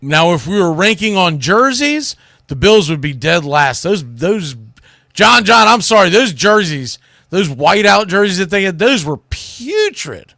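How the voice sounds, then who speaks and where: A man's voice: sits high, big pitch swings, measured pace, gravelly, pushed and bright, no echo, studio quality. A man hosting a sports talk show, giving casual, opinionated commentary in colloquial language, speaking straight to his listeners.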